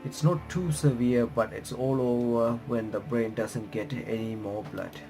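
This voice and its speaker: A man reading visual novel text aloud with bits of his own commentary, halting and correcting himself as he goes. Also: mid-range voice, steady tone, a little mellow. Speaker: gaming reaction streamer. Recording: music playing behind the voice. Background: game music.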